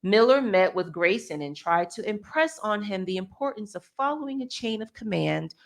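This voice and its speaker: A woman's voice: mid-range voice, lively intonation, normal speed, gravelly. An American woman reading a news article aloud as a co-host, with casual asides and the occasional stumble over words.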